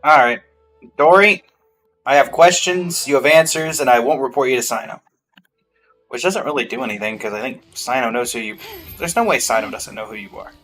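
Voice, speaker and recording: A streamer comments casually on a video game in a medium-pitched voice. The delivery is playful and wry, with faint game music underneath. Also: a little fast, fairly bright tone, low-quality recording.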